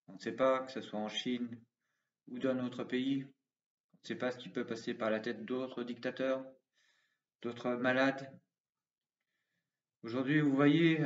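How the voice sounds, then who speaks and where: A man making an earnest, impassioned appeal straight to viewers, repeating slogans. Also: medium pitch. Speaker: commentator. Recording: roomy, distant, dull sound.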